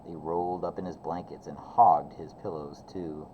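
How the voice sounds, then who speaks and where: A man's voice: low-pitched, fairly even intonation, normal speed, dark tone. A man reading a children's storybook aloud expressively like a teacher, on a slightly dull, noisy recording. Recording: slightly dull, faint background noise.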